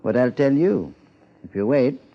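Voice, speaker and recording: An actor playing a grumbling, folksy old small-town clerk in a 1950s radio drama. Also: male voice, medium pitch, sing-song, unhurried. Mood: folksy, deliberate. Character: crotchety, penniless old small-town former record keeper, male. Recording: muffled 1950s radio broadcast.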